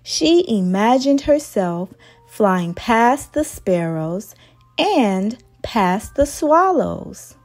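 A woman reading a story aloud to young children in an expressive, singsong storytelling manner. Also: fairly high pitch. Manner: slow, expressive, singsong delivery. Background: background music.

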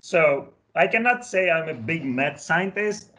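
A man's voice: on the high side, expressive, a little slow, pushed and bright. Man, 30s, Turkish native raised in Bulgaria, patient technical explainer on a webcam call from a home office. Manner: careful, explanatory, handles equipment while talking. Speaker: product specialist guest. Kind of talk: technical demo and interview talk. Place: small home office, indoors. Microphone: webcam on video call.

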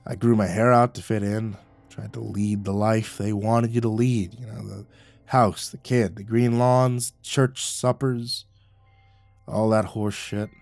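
A man voicing a bitter, boozy old male war veteran who talks in a gruff, low, sneering way over faint music. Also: flat delivery, a little slow, darkish tone, dry recording. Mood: brooding.

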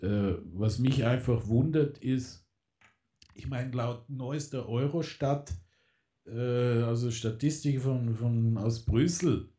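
Rambling man, dark mellow voice. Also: mid-range voice. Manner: rambling, many fillers and restarts. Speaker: guest. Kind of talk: casual political radio talk.

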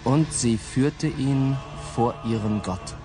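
A man's voice: medium pitch, takes it slowly, close to an everyday voice. A man playing a dramatic storyteller, narrating a Bible tale in a radio play. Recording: loud guitar music behind.

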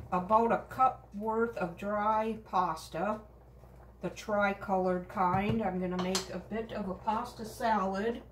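A woman's voice: medium pitch, noisy background. A middle-aged woman casually talking through her cooking step by step in her home kitchen, in short remarks to herself. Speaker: home cook vlogger. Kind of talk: casual narration while cooking. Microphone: camera across the counter. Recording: echoey kitchen from a distance. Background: clinking and chopping noises.

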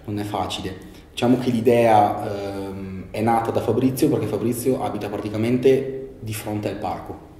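A young man in his twenties answering interview questions in a relaxed way, searching for words with fillers and restarts. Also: lowish voice, normal speed. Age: 20s. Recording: roomy echo with faint background noise.

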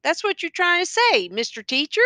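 A woman's voice: fairly high pitch, animated, moderate pace, a bit bright, dry recording. A woman voicing a bitter young condemned man in a jail, needling in rural Southern vernacular for an audiobook story. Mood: taunting.

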